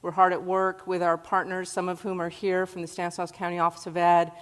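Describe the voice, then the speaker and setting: A woman's voice: lowish voice, completely flat delivery, brisk, smooth. A woman in her forties, born in Iraq, giving an earnest personal talk to an audience in a large hall. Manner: earnest, warm-hearted storytelling. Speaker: guest speaker. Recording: long hall reverb.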